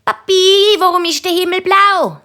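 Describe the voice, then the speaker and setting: A woman's voice: very high-pitched, measured pace, pushed and bright, pitched above the performer's own voice, full character voice. A woman voicing cheeky, naive little schoolchildren in jokes, with a Swiss accent and an eager, sing-song way of talking. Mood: curious, insistent.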